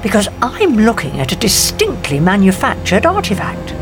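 An actress playing a headstrong, curious professor in a science fiction audio drama, haughty and wry in manner. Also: female voice, medium pitch, huge pitch swings, normal speed, over music. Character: headstrong, curious woman professor exploring an alien planet.

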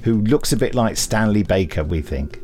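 A man's voice: lowish voice, expressive, moderate pace, darkish tone, a little hiss or hum. A British man co-hosting a podcast in a chatty way, enthusiastic and given to wry, teasing asides. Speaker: podcast co-host.

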